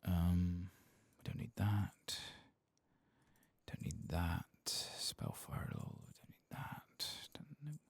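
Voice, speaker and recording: Very deep, gravelly, soft-spoken man. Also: takes it slowly, dry recording. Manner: murmuring, often slipping into whispers. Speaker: ASMR game streamer. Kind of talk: soft-spoken and whispered ASMR game commentary. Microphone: close microphone.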